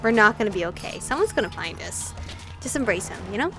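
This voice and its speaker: A woman hosting a visual novel let's play, reading aloud and reacting in an expressive, sweary, giggly way. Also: medium pitch, fast, low-quality recording. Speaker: gaming video host. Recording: music playing behind the voice. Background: background pop music.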